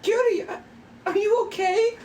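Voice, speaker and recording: A woman's voice: extremely high pitch, steady tone, normal speed, a little mellow, a little hiss or hum. A woman playing a sweet, doting wife in a cutesy, exclaiming manner at a script read. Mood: concerned.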